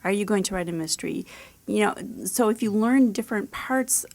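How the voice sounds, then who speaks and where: Middle-aged American woman with a creaky voice. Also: mid-range voice, fairly quick. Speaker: author and editor being interviewed. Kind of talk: conversational interview answers.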